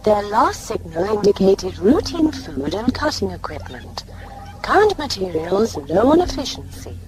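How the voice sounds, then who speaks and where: A woman's voice: medium pitch, very animated, a little slow, over music. A woman playing a prim, literal-minded ship's computer in an audio drama, with a formal, cool and precise manner. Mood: formal, informative.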